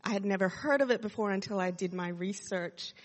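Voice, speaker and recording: A woman's voice: mid-range voice, brisk. A woman in her thirties from Sydney with an Australian accent, sharing her testimony in a chatty way with many fillers. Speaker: church speaker sharing testimony. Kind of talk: casual testimony talk to a congregation. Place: church hall. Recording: long hall reverb.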